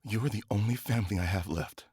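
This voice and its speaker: A man playing a loyal, wounded young man in a horror audio drama, husky and subdued but intense. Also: lowish voice, fairly quick, darkish tone, no room sound, clean studio sound. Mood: pained, resolute. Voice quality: husky, gravelly.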